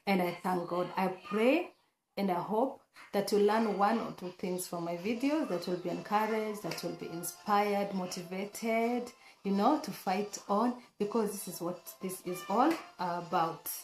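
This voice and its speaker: Hoarse woman vlogging in an echoey room. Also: mid-range voice, a little slow, soft background music. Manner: chatty, earnest, many fillers and restarts. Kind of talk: casual talk to camera.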